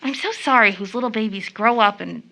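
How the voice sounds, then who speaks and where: A woman's voice: on the high side, fairly quick, sharp tone. An actress playing an eager, tender-hearted teenage schoolgirl in an audio drama, chatty, girlish and earnest. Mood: tender, troubled. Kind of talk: stage-play audio drama acting.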